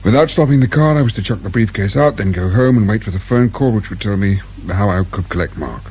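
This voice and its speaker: A man's voice: lowish voice, expressive, fast talker, a little mellow, breathy edge, muffled recording. An actor playing a distraught English father of a kidnapped boy in a radio drama. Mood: subdued grief. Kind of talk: naturalistic British radio drama acting. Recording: background noise and room ambience.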